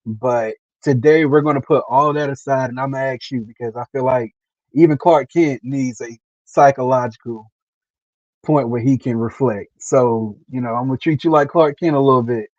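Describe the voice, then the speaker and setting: A man hosting a casual interview over a video call, earnest and halting, often repeating himself. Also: medium pitch, darkish tone, dry recording. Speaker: host interviewer. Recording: dull lo-fi stream audio.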